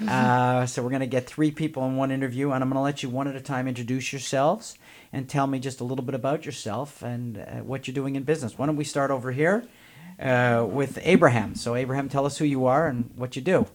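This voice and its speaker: A man's voice: medium pitch, fast talker. A man hosting a radio interview from a studio. He talks in a loose, chatty way, with plenty of "uh" and "you know" between his thoughts. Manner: relaxed, chatty, many fillers. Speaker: radio show host.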